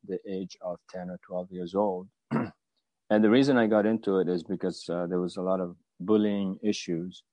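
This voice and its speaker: Low-pitched middle-aged man, halting. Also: fairly even intonation, mellow, no echo, low-quality recording. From Afghanistan. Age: 50s. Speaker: guest, martial arts teacher. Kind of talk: personal storytelling in an interview.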